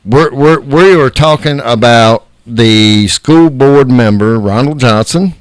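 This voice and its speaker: A man's voice: medium pitch, slow pace, dry recording. A man co-hosting a casual podcast, speaking bluntly and teasing. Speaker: podcast co-host.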